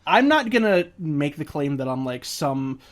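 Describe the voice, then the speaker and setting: A man's voice: on the high side, sing-song, normal speed. A man co-hosting a casual discussion show, blunt and swearing freely, often restarting his sentences. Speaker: co-host.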